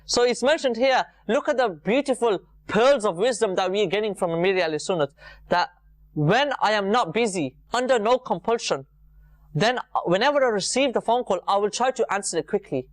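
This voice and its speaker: A man's voice: high-pitched, animated, normal speed, no echo, studio quality. A man giving an informal, earnest religious talk to viewers, repeating phrases for emphasis. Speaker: religious programme presenter.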